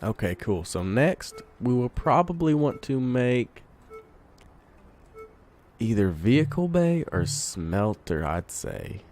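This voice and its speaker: A man with a congested voice from a cold, giving relaxed let's play commentary, thinking aloud while he plays. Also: medium pitch, takes it slowly, a little hiss or hum. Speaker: gamer.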